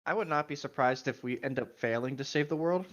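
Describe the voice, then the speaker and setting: A man's voice: medium pitch, a little fast, a bit bright. A man joking around as a co-host in casual banter, swearing freely, on a slightly dull lo-fi microphone.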